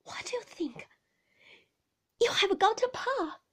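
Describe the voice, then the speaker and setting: A woman's voice: very high voice, big pitch swings, unhurried, voice pushed up, full character voice. A woman voicing a kindly, flustered nursemaid in a storybook, breathless, hesitant and soothing. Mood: breathless, nervous. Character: kindly, flustered, fond nursemaid breaking awkward news.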